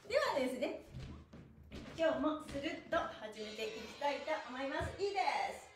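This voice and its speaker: Female exercise host, middle-aged, bright-spirited and encouraging, swooping intonation, roomy camera-mic sound. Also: high voice, sing-song, moderate pace, music in the background. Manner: cheerful, encouraging, exclamatory; polite, with playful honorifics for body parts. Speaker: stretching instructor and stream host. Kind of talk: live exercise lesson to viewers. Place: small bare-walled room indoors. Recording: roomy indoor echo.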